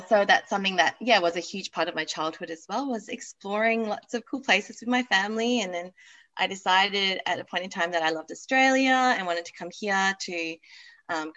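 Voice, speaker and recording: A woman's voice: medium pitch, moderate pace, bright, forceful tone, dull audio. A woman in her thirties from Malaysia, chatting as an interview guest about her business and family, laughing easily with frequent ums. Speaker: guest, activewear business founder. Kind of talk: podcast interview chat.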